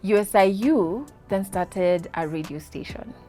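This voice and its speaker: A Kenyan woman in her thirties tells her story in a casual interview, her voice lively and quick to laugh. She drops Swahili words into her English, with faint music playing behind her. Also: medium pitch. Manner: animated, laughing, mixes in Swahili. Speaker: guest.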